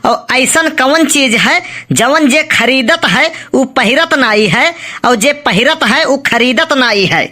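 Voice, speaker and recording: High, sharp, skeptical Awadhi cartoon villager played by a woman. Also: lively intonation, slow pace, heavily acted. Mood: challenging. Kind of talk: comic cartoon dialogue in village dialect.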